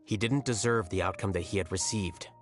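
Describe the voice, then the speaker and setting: A cool, unbothered young scholar, played by a man, with a lowish voice and dry, cutting delivery. Soft game music sits faintly behind him. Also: a little fast, lightly acted. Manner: calm, deadpan, cutting. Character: aloof, dryly sarcastic male scholar. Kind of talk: video game dialogue.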